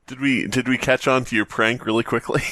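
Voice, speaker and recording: A man's voice: medium pitch, steady tone, a little fast, pushed and bright, no echo. A man hosting a podcast in casual, joking group chat. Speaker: podcast host.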